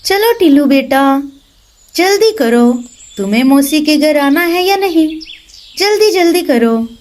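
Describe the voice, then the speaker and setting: A woman's voice: high voice, expressive, slow, darkish tone, a little hiss or hum. A woman voicing a clever, caring mother bird in a children's cartoon, plucky and motherly. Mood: warm, hurried.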